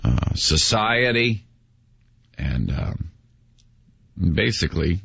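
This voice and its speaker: A man's voice: low-pitched, sing-song, slow pace, gravelly, a bit bright, breathy edge, no room sound. A man in casual chat, emphatic and opinionated, throwing out questions to the others. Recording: dry, dull lo-fi podcast audio.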